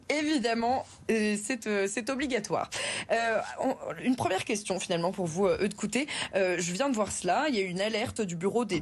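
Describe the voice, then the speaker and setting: A woman hosting a TV interview, asking guests questions with brisk prompts and fillers. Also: medium pitch, a little mellow. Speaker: TV host, interviewer.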